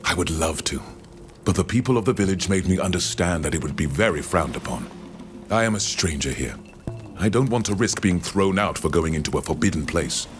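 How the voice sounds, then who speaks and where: A very low-pitched, learned gentleman scholar speaks with formal courtesy and careful wording. His intonation moves freely, as he explains things like a well-read visitor from abroad in a fantasy game. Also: lively intonation, music in the background. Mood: intrigued. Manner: measured, formal, precise wording. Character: courteous, learned male foreign scholar from the continent. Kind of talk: video game dialogue acting.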